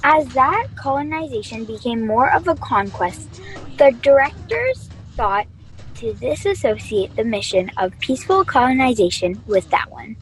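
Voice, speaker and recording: A woman's voice: sits high, big pitch swings, moderate pace, low-quality recording. A woman playing an earnest, studious young female colonist who explains things formally in a student sci-fi radio play.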